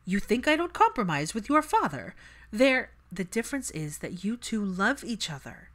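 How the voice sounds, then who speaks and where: A woman's voice: medium pitch, sing-song, moderate pace, a bit bright, pitched above the performer's own voice. A pragmatic mother, set in her ways and voiced by a woman narrator. She lectures insistently and critically in a raised, pressing voice, as heard in an audiobook. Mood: defensive.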